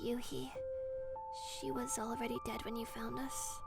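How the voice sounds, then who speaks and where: A woman voicing a fragile, emotional young woman in a manga dub, with breathy delivery. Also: a little high, level tone, unhurried. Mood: weak, grieving. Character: young woman, orphaned grieving sister seeking revenge, fragile. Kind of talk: anime-style dub acting. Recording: loud music bed underneath. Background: music.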